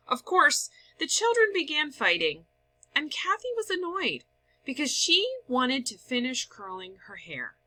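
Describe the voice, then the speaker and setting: Woman, story narration, bright voice, animated intonation, dramatic emphasis, scripted read-aloud. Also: medium pitch, low-quality recording. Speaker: story reader.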